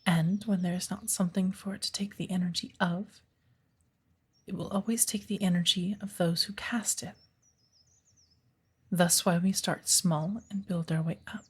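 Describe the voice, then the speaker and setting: A woman playing a patient, playful witch of the woods who gently teaches an apprentice in a soft ASMR roleplay, with a breathy voice. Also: on the low side, fairly even intonation. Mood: earnest, instructive. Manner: slow, measured, gently instructive.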